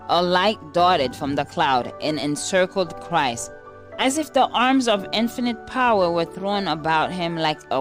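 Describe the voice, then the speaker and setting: A woman with a fairly low voice reads a scripted devotional at a very slow, measured pace. Faint music plays behind her. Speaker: devotional reader.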